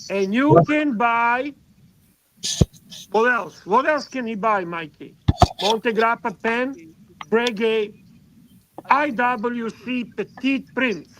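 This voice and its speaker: Male co-host from Serbia, native Serbian speaker, high voice, casual teasing banter with swearing. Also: slow pace, long pauses, noisy background. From Serbia, Belgrade.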